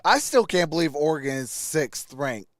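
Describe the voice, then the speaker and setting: A man's voice: a little high, lively intonation, moderate pace, no echo, studio quality. A man hosting a sports livestream, casually mixing play-by-play with informal, chatty replies to viewers. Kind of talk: casual live play-by-play and chat.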